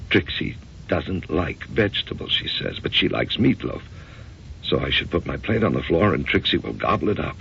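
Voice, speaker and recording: An actor playing a bewildered, conventional middle-aged American husband in a radio drama, with a gravelly, hesitant delivery. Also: male voice, rumbling low, animated, sharp tone, a little hiss or hum, heavily acted. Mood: bewildered, distressed. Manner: hesitant, halting. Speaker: actor and first-person narrator. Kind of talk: old-time radio drama acting. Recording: dull, muffled radio drama recording.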